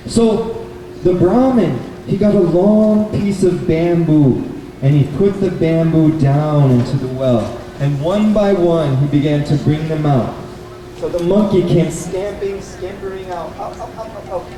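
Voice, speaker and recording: A man's voice: on the high side, a little slow, mellow. A man telling a story live to children at a puppet show, in short, simple explanatory phrases. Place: public event in a bookstore, mic at a distance. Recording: echoey, distant, noisy live event recording.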